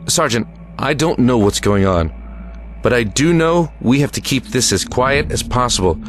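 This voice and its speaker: A man plays a guarded American army lieutenant in an audio drama. He is plain-spoken and carries weary authority at a middle pitch. Music runs clearly under his voice. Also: animated, moderate pace, lightly acted. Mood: grave, secretive.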